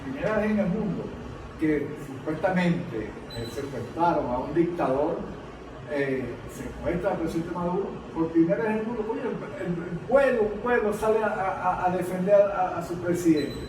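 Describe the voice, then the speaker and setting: Halting Venezuelan man in a very echoey room. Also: high voice, animated. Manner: halting, repeats words as he builds a point. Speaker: political analyst on a panel. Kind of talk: informal political panel discussion. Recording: very echoey room, distant mic, noisy.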